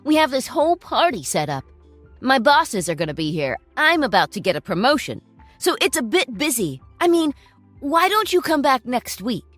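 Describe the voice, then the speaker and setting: A woman's voice: sits high, big pitch swings, moderate pace, fairly bright tone, dry recording, faint music behind, pitched above the performer's own voice, heavily acted. Woman doing a sneering smug ex-husband. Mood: brushing off. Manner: sneering, condescending. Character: smug selfish ex-husband, middle-aged man.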